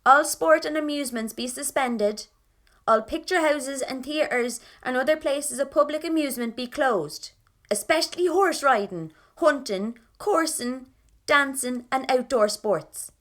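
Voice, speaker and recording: A woman's voice: high-pitched, measured pace, fairly bright tone. An actress with a Dublin accent playing a defiant young rebel woman of the 1920s, telling her story in a colloquial, wry, dramatic way. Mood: proclaiming. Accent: Irish, Dublin. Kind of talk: first-person audio drama narration.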